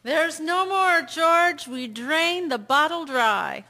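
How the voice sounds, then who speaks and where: Actress as a high-voiced, slow-speaking sailor's sweetheart. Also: female voice, lively intonation, sharp tone, full character voice. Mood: languid, romantic. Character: good-hearted sailor's island girlfriend in the 1860s. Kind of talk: radio drama acting.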